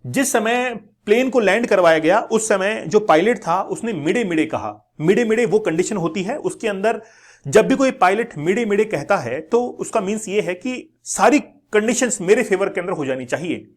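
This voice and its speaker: A man's voice: sits high, animated, normal speed. A man with an Indian accent presenting an explanatory news lesson to viewers, going step by step, repeating key words and mixing in English terms. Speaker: educational channel presenter.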